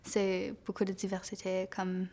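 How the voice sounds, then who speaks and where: A woman's voice: mid-range voice, monotone, a little slow, darkish tone. A young woman, answering casually in an interview in colloquial speech. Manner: colloquial, peppered with "comme".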